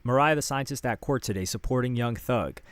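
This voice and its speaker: An American man with a mid-range voice presents celebrity gossip commentary, reading posts out loud between his own remarks. The sound is dry, with very little room in it. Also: mid-range voice, brisk, no room sound.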